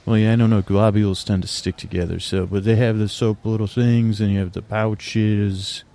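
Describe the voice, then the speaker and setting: A man's voice: lowish voice, flat delivery, normal speed, gravelly, mellow. A man hosting a sleep podcast, talking in a languid, meandering bedtime monologue that drifts off topic and keeps interrupting itself.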